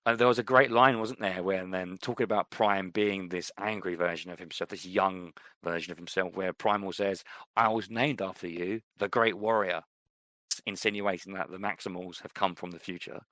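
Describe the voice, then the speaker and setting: A man's voice: deep voice, fairly even intonation, moderate pace, bright, forceful tone, no echo. A man co-hosting a podcast, chatting casually and enthusiastically about films, repeating himself for emphasis. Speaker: podcast co-host. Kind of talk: casual film discussion.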